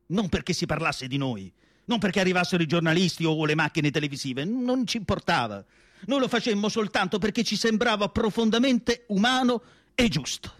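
A man acting an earnest, melancholy asylum doctor in a radio play. His voice sits a little high for a man and is recorded dry and close. He phrases deliberately and emphatically, leaving weighty pauses as in a stage monologue. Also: dry recording, lightly acted. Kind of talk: theatrical radio drama monologue.

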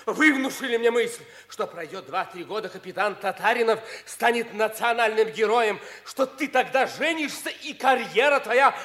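An actor playing an obsessive, bitter young schemer in a theatrical 1950s Soviet radio play. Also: male voice, very high voice, expressive, normal speed, hardly any pauses, a bit bright. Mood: bitter, accusing.

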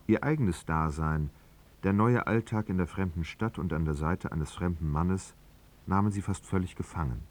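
An actor as the grave literary narrator of a radio drama, measured and solemn. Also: male voice, deep voice.

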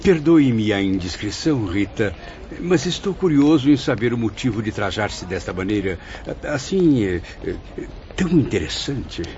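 A man playing a courteous, curious gentleman spirit in a radio drama, formal and gallant with those he addresses. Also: lowish voice, very animated, moderate pace. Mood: admiring, curious. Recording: dull sound with faint noise.

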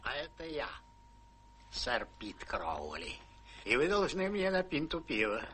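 A stingy old baronet of the countryside, crude and sly. He drawls unhurriedly, teasing and mock-gruff, in theatrical radio play style over a clear background hiss. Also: mid-range voice, very animated, a little slow, pushed and bright.

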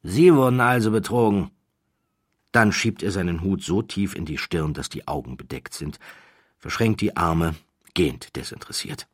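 A deep-voiced man reads a scripted story as an audiobook narrator. His tone is husky, his phrasing deliberate with theatrical emphasis. It is recorded close and very dry. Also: expressive, fairly bright tone, no room sound. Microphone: close studio microphone, dry.

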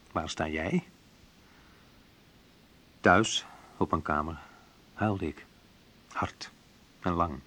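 A man's voice: on the low side, husky, full character voice. An actor playing a wry, reflective man who narrates memories of his youth in an intimate radio drama, confiding and a little hesitant. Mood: somber, bitter. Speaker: narrator and main character of a radio play.

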